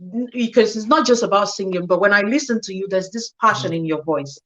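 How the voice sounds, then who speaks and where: A woman's voice: mid-range voice, fairly quick, dull audio. A woman from Cameroon hosting an online interview show, playful and teasing, often laughing with her guest. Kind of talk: lively hosted interview.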